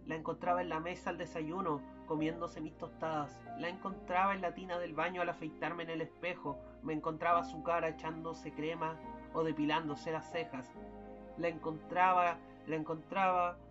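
A man's voice: a little high, steady tone, normal speed, close to an everyday voice. A young man plays a fussy husband in a student radio play, with clipped, weary lines and a cold, detached edge. The recording is dull and lo-fi, with music playing underneath. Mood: calm, detached. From Chile. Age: young adult. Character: fussy cold husband who grows sinister. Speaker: student radio drama actor. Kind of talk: amateur radio drama acting.

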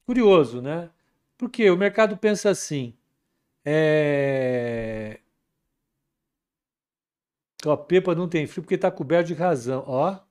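A man hosting a casual live market commentary, relaxed and chatty, reading viewer comments aloud. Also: a little high, takes it slowly, long pauses. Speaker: market commentary livestream host.

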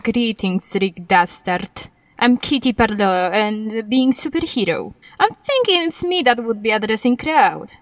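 Proud deadly fighter heroine, high pitched, dry sound, stilted formal speech, comic radio play. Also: female voice, sing-song, a little slow, no room sound. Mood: haughty. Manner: boastful, formal, clipped foreign-style grammar dropping articles. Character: proud, deadly female martial-arts superhero. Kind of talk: comedic audio drama acting.

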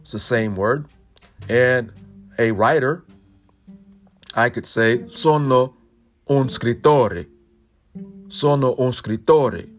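A man hosting a podcast lesson, slowly saying everyday phrases and repeating each one twice. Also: mid-range voice. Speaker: podcast host teaching Italian phrases. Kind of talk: solo language lesson podcast.

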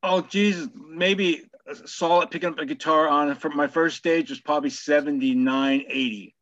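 A man's voice: fairly high pitch, fairly even intonation, moderate pace, a bit bright, no room sound. Laid-back older man on a dull line. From England. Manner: laid-back, crude, laughs easily. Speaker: guest musician. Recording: dull, dry, lo-fi sound.

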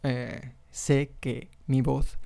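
A man's voice: medium pitch, little pitch movement, drawn-out words, vocal fry, mellow. A young man from Mexico who speaks with a Spain Spanish accent, hosting his own podcast in a casual, hesitant monologue full of fillers and restarts, recorded on a podcast microphone. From Mexico (Monterrey). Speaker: podcast host.

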